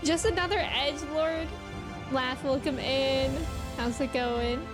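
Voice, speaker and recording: A woman live-streaming a story game, casually reacting in an expressive, exclamatory way. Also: high-pitched, slow. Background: game music.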